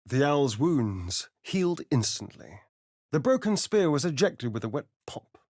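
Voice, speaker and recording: An actor playing a suave, sardonic vampire rogue in a video game, vain and theatrical, with an arch, drawling delivery. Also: male voice, medium pitch, very animated, a little slow, a little mellow, no echo, studio quality. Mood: grave narration. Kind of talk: dramatic video game character lines and narration.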